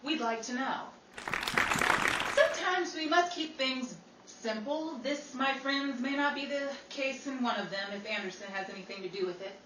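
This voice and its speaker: A woman's voice: high-pitched, moderate pace, a bit bright. A woman presenting a scripted history segment like an old-time newsflash, with formal, theatrical phrasing. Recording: echoey, distant, faintly noisy.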